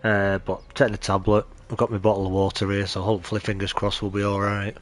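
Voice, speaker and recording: A man's voice: on the low side, level tone, quick pace. A British man streaming a game live, chatty and greeting his viewers, sounding nasal and chesty from allergies. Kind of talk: live stream gameplay commentary.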